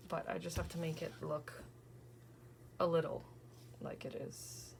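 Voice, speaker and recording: A woman in her thirties, tired from an early morning, softly thinking aloud and often whispering as she works on a scrapbook page. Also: low-pitched, steady tone, a little slow, creaky, slight background noise, rough recording. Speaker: scrapbooking crafter. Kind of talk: casual crafting vlog narration.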